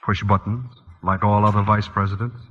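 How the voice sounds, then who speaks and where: An actor playing an evasive, sardonic executive husband with a husky voice and dry, clipped 1940s radio delivery. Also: male voice, fairly low pitch, flat delivery, a little fast, slight background noise, natural voice. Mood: dry, guarded. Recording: muffled old radio broadcast, reverberant.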